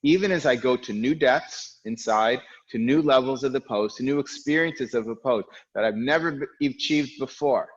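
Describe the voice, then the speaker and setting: A man guiding an online yoga class, with a loud, strong voice, calm and reflective, speaking in unhurried phrases with pauses. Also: medium pitch, muffled recording. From United States. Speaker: yoga teacher. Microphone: recorded for an online class video.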